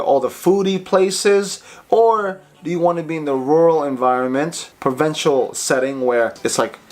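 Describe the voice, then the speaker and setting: Man, 30s, from the Philippines, raised in the US; casual, friendly vlog host. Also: medium pitch. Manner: chatty, repeats words when searching. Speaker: vlogger.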